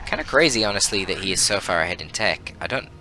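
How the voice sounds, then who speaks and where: A man commentating on a strategy game match as a shoutcaster, explaining tactics analytically as he goes. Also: sits low, lively intonation, fast talker, music in the background, rough recording. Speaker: esports shoutcaster.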